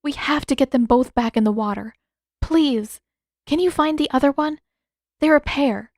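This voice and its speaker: Woman voicing young woman, audiobook character, gentle, devoted, earnest, higher pitch, very dry recording. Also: normal speed, no echo. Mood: pleading.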